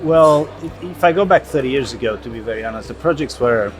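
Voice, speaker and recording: A man's voice: mid-range voice, lively intonation, fast talker, a crowd in the background. A man in his fifties from Romania, an interview guest speaking earnestly and enthusiastically at a trade event, with small restarts. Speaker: interview guest, logistics executive. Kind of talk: conversational interview.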